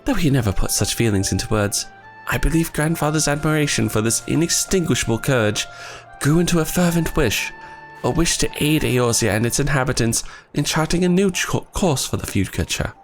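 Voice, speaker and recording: A man reading as a grave, earnest young scholar who speaks in formal, old-fashioned phrases, his voice a bit worn and scratchy. The delivery rolls up and down with lively pitch. Faint game music plays underneath. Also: brisk. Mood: solemn. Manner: archaic, formal phrasing, read in his own voice. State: losing his voice.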